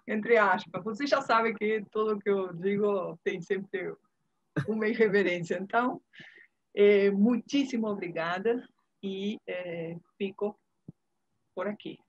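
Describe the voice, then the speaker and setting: A woman in her fifties with a Uruguayan Spanish accent, a native Spanish speaker, reminiscing casually as an interview guest in a husky voice over a video call. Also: mid-range voice, slow. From Montevideo, Uruguay. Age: 50s. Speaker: interview guest, curator and art history professor. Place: indoor room, video call. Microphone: earphone headset on video call. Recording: dull lo-fi video call audio.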